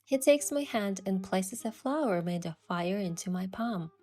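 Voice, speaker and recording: A woman's voice: mid-range voice, animated, normal speed, a little mellow. A woman reading scripted first-person romance narration and voicing the heroine. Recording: music behind the voice.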